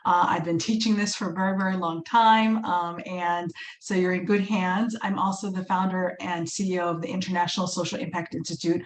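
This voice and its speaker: Middle-aged woman from Uganda, webinar instructor, upbeat and encouraging, loose with fillers; video-call audio in an echoey room. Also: lowish voice. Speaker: workshop presenter and fundraising instructor. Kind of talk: live webinar teaching. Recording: echoey room with faint ambient noise.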